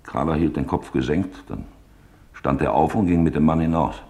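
An actor playing a male office clerk, soberly and deliberately recounting wartime memories in a radio drama. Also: extremely low pitch, mellow. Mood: sober, reflective. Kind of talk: German radio drama witness monologue.

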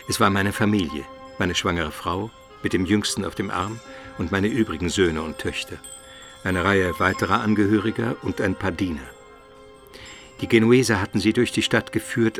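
A male actor plays a learned gentleman of Byzantium in a radio drama, inquisitive and measured. The man's voice is on the low side and articulate, with light music underneath. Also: lightly acted. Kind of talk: German radio play, dialogue and narration.

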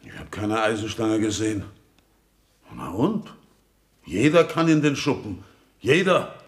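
An actor playing an uneasy, indignant brother under suspicion in a radio crime play, protesting and defensive. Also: male voice, fairly low pitch, animated, measured pace.

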